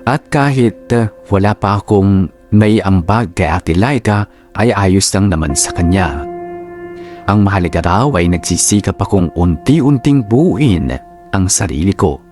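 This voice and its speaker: A man narrating a letter for a radio drama in measured phrases, with sentimental, dramatic delivery. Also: sits low, lively intonation, a little mellow. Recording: clear music bed behind.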